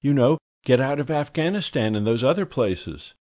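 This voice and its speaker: A man's voice: medium pitch, expressive, normal speed, a little mellow, voice pushed up, natural voice. A man voicing a pushy, gushing society woman who does volunteer work, talking in a breathless, fawning, emphatic way.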